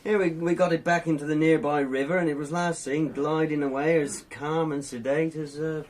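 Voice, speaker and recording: A man's voice: a little high, fairly even intonation, moderate pace, darkish tone, close to an everyday voice. An actor playing a genial, droll and deferential male police sergeant in a radio drama.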